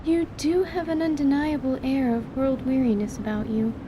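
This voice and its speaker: A woman playing a calm, clinical surgeon in an audio drama, speaking formally and precisely. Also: high-pitched, normal speed, noisy background, lightly acted. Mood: composed. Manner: formal, precise, articulate diction.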